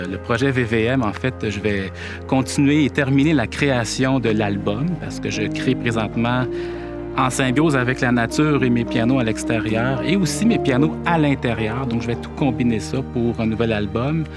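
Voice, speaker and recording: Thoughtful Québécois man over piano music. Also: mid-range voice. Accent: Québécois French. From Quebec. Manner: thoughtful, searching for words with frequent pauses. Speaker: pianist-composer. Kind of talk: casual talk to camera. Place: indoors at home.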